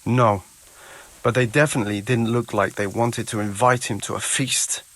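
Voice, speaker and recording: A man acting as a pious medieval charcoal burner in a video game. His voice sits at an ordinary middle pitch. He talks in a dry, dismissive, reluctant way. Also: fairly even intonation, normal speed, a bit bright, lightly acted. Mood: cautioning. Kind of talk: video game cutscene dialogue.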